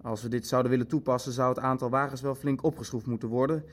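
A man's voice: medium pitch, fairly even intonation, fast, natural voice. A man acting a detached Nazi bureaucrat in a radio play, clinical and hesitant, fussy about figures. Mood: detached, factual. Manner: hesitant, clinical, leafing through his facts. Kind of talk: dramatic dialogue in a radio play.

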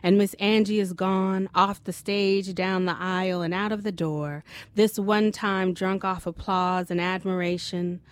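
A middle-aged American woman with a voice of average pitch, a writer in a studio. She grew up in Maryland and speaks as a guest author. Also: little pitch movement, a little slow. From Silver Spring, Maryland, USA. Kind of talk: story reading and casual studio interview.

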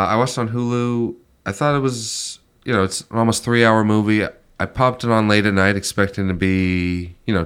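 A man's voice: fairly low pitch, a bit bright, clear, resonant tone. A man co-hosting a podcast in casual, easygoing chat, a little nasal from a stuffy nose. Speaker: podcast co-host.

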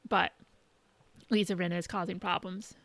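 A woman podcast co-host chatting casually in a halting, conversational way, recapping what she has been watching. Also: fairly low pitch, flat delivery, gravelly, a bit bright. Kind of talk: casual chat, recapping shows and films.

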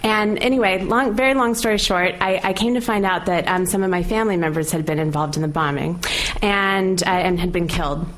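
A woman's voice: fairly low pitch, fairly quick. Chatty American woman on a panel. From California, US. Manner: chatty and candid, laughs often, many fillers. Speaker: panel speaker, opinions editor. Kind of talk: informal panel talk.

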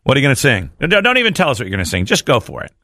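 A man's voice: mid-range voice, huge pitch swings, rapid-fire, sharp tone, no echo. A man hosting a morning radio show, chatting casually and running on-air auditions. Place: radio studio. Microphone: close broadcast microphone.

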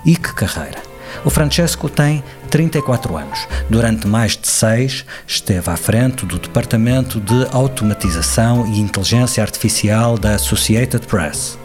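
A man with a European Portuguese accent, narrating an introduction and hosting an interview in a measured, polished way. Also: fairly low pitch, animated. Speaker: host. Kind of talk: scripted narration and interview questions. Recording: music bed under the voice.

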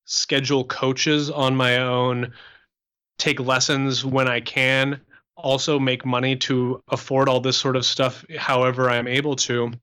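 A man's voice: mid-range voice, unhurried, smooth. A young man from Canada speaking as an interview guest, explaining his life as a musician in a conversational, sociable way. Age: young adult. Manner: animated explaining, sociable extrovert.

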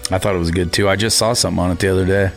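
Laid-back low-voiced man in forties. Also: fairly even intonation, rapid-fire, gravelly, soft background music. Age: 40s. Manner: laid-back, dry teasing. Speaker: podcast co-host. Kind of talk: casual chat.

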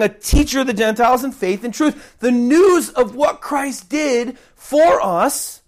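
A woman preaching an emphatic sermon while recovering from a cold and fighting to keep her voice. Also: fairly high pitch. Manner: emphatic, repeats key points, swings between loud stresses and soft drops.

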